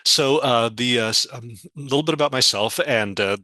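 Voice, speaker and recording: A man's voice: mid-range voice, normal speed, bright, forceful tone, no room sound. A man moderating a webinar session over a video call, rambling with many fillers and apologetic slips as he runs through housekeeping and introduces the speaker.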